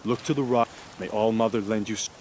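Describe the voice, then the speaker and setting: Man playing a low-voiced, weary wounded warrior. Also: steady tone, fast talker, slight background noise, lightly acted, low-quality recording. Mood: pained, weary. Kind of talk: dramatic video game acting.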